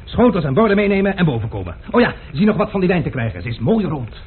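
An actor playing an imperious, hot-tempered gentleman master who gives brusque commands, in old Dutch radio drama style. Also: high-pitched, big pitch swings, quick pace. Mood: gruffly pleased. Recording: tinny, noisy old radio play recording.

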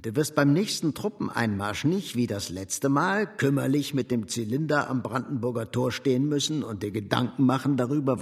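An actor playing a hard, boastful political commander in a German radio drama, clipped, assured and emphatic. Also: male voice, medium pitch, husky.

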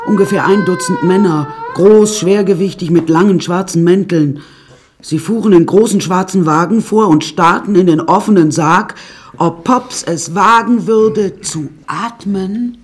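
A woman's voice: lowish voice, darkish tone, natural voice. An actress playing a wry woman who recounts her death-strewn childhood in a radio play, dry and confiding. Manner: dry, darkly comic, confiding.

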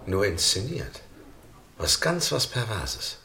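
A man playing a laconic party guest with a husky voice in a radio play. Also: fairly low pitch, sing-song, takes it slowly, pushed and bright, full character voice. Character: laconic male party guest commenting dryly. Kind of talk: scripted radio play acting.

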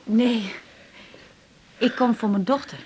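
A woman's voice: fairly high pitch, fairly even intonation, slow, darkish tone, breathy edge. An actress playing a polite, reserved middle-aged mother of a doctor in a radio drama. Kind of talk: 1980s radio drama acting.

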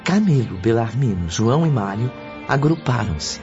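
A man's voice: mid-range voice, big pitch swings, normal speed, lightly acted. A man narrating a spiritual radio drama as a solemn, scripted storyteller. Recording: muffled lo-fi recording under music. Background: prominent music.

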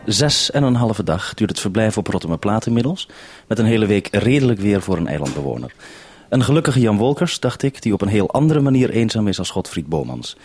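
A man with a Dutch accent from the Netherlands and a husky voice, hosting a radio report and interview. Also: fairly low pitch, very animated, a little fast, over music. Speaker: radio host.

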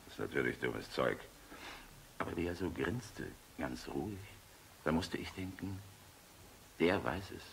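An actor playing a war-weary general in a German radio play, tenderly in love, wry and fatalistic, with a very deep, gravelly voice. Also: male voice, lively intonation, measured pace, pauses often, exaggerated voice. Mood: grave, subdued. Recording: hissy old radio recording.

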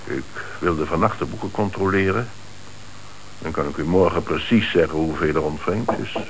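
A hissy old radio drama. a troubled bank manager with a very low voice. He speaks formally and hesitantly, as a lenient boss answering the police. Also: moderate pace, poor audio. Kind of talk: radio play acting.

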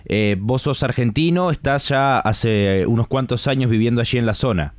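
Halting Rioplatense radio interviewer, male. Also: medium pitch, no echo, studio quality. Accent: Rioplatense Spanish. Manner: halting, searching for words. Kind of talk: live radio news interview.

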